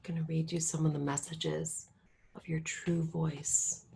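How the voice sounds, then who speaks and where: A woman leading a guided meditation in a calm, unhurried way, her voice drifting between a soft murmur and a whisper. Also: low voice, gravelly, slight background noise.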